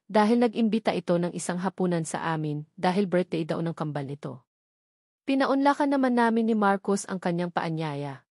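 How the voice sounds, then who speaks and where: A woman's voice: mid-range voice, darkish tone, no room sound, clean studio sound. A woman narrating a first-person romance story from a script.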